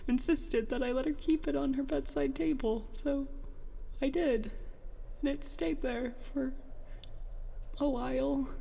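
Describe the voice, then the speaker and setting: An actress playing a bitter, self-doubting young petty officer in a halting, confessional monologue for a science fiction audio drama. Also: female voice, sits high, creaky, dark tone, long reverb tail, highs cut off, a little hiss or hum. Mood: sad, subdued. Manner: halting, conversational, self-deprecating, mildly profane.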